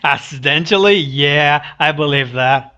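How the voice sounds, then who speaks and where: A young adult man giving casual read-along commentary, halting and restarting his phrases as he goes. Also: a little high, bright, forceful tone, dull audio. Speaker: reaction video host. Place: small room indoors. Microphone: headset boom mic.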